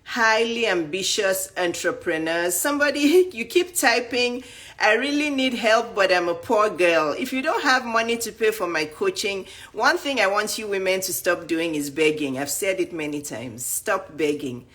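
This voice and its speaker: A woman's voice: medium pitch, lively intonation, normal speed, a bit bright. A woman, a coach talking bluntly and emphatically to the camera, rattling off lists of questions. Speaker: dating and life coach. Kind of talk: direct-to-camera coaching talk.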